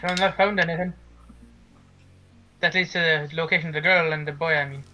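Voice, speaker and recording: A man's voice: on the high side, fairly even intonation, sharp tone. A man voicing a chatty adventurer who ends lines with "eh", over faint music. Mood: earnest. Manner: quick, tag questions with "eh".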